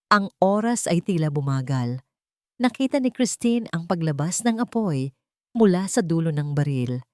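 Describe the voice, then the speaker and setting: Low-voiced Filipino woman narrating dramatic romance. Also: darkish tone, dry recording, clean studio sound. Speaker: story narrator.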